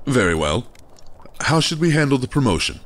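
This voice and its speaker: An actor playing a dignified, gracious clan leader in a fantasy audio drama, with formal, stately speech. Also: male voice, mid-range voice, big pitch swings, normal speed, close to an everyday voice.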